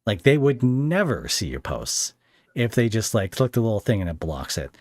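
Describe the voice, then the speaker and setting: A man's voice: lowish voice, very animated, moderate pace, darkish tone, no room sound, clean studio sound. A man hosting a casual podcast interview, talking informally in a rambling, hesitant way.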